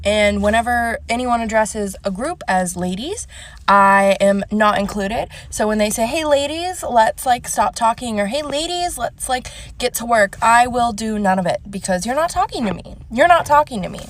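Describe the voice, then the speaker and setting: Defiant voice explaining to camera. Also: female voice, fairly high pitch, expressive, moderate pace, slight background noise. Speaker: social media poster. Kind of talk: casual talk to camera.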